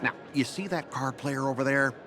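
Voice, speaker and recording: An actor playing an excitable, obsequious company guide with a grudge in a video game dub, theatrical and overeager. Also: male voice, medium pitch, normal speed, over music, lightly acted. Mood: servile bluster.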